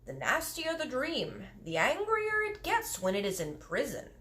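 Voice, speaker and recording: A woman's voice: sits high, wildly swooping pitch, unhurried, sharp tone, pitched above the performer's own voice, heavily acted. A woman voicing a big friendly male giant who talks in muddled grammar and invented words. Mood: earnest, explaining.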